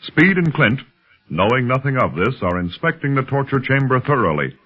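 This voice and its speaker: A man narrating a 1930s radio adventure serial from a script, with a husky voice. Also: mid-range voice, sing-song, moderate pace. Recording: dull vintage 1930s radio recording. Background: music.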